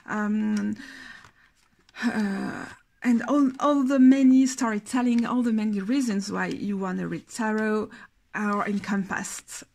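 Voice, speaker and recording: A woman's voice: mid-range voice, vocal fry, a little mellow. A tired young woman with a Belgian French accent, a French speaker reviewing a tarot deck in English, hesitant and often searching for words. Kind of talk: casual video review.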